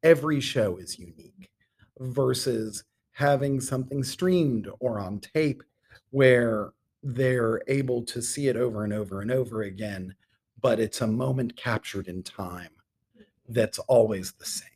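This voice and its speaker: A man's voice: mid-range voice, measured pace, darkish tone, breathy edge. A middle-aged man speaking as a theatre director being interviewed on a podcast, articulate and enthusiastic, with ornate turns of phrase.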